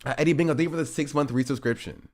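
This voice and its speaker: A man's voice: medium pitch, racing. A man in his twenties streaming, rambling through casual political commentary with sarcastic asides and self-corrections. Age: 20s.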